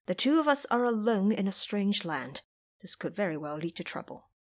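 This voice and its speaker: A woman voicing a cautious, stern male Buddhist master in an audio drama, hushed, formal and admonishing. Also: mid-range voice, big pitch swings, normal speed, lightly acted. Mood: hushed warning.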